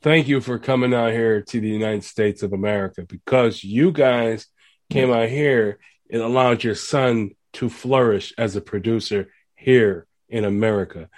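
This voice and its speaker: A man's voice: fairly low pitch, normal speed, no room sound. A man hosting an interview, enthusiastic and full of praise for his guest. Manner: enthusiastic, complimentary, calls guest "man" and "brother". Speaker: interviewer, host. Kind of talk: casual interview.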